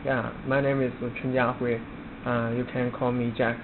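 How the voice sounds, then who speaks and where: A man in his twenties with a Chinese accent, a student introducing himself informally, halting and repeating words. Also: medium pitch, level tone. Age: 20s. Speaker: language student. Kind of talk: informal classroom self-introduction. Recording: muffled phone-like audio, clearly noisy.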